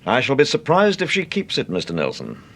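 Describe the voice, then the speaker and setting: An actor playing a calm, probing English gentleman detective in a radio mystery, urbane and understated, with a British accent. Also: mid-range voice, gravelly, a little hiss or hum. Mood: probing, grave. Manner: urbane, measured questioning, understated. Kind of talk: radio mystery drama acting.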